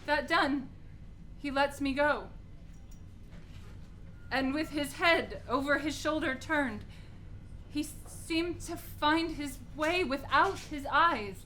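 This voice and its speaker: A woman's voice: sits high, slow, pauses often, sharp tone, a little hiss or hum, full character voice. An actress playing a frightened, obedient young noblewoman, delivering Shakespearean verse earnestly in a radio play. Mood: shaken, awed.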